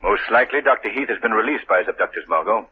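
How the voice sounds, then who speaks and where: A man voicing a suave, self-assured gentleman in an old radio play. His voice is measured and lively in pitch. It comes through a tinny, hissy old broadcast. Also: natural voice. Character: suave wealthy man, calm and confident. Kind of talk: 1930s radio drama acting.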